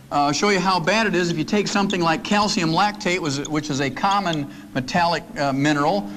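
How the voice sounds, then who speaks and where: A man's voice: high voice, fairly bright tone. An older man giving a folksy, joking seminar talk to an audience in a meeting room. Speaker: lecturer.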